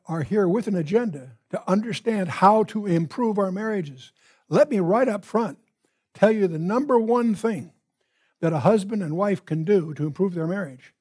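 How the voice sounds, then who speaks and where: An older American man with a husky voice teaching a Bible study in a deliberate, emphatic manner, phrase by phrase. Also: sits high, a little mellow. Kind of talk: Bible study lecture opened with prayer.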